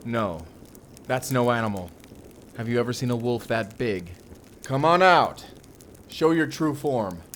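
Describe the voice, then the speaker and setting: A man playing a determined, earnest vampire slayer in a cinematic audio roleplay, explaining things in a measured way. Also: medium pitch, lightly acted. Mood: challenging, commanding. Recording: clearly noisy ambience behind the voice.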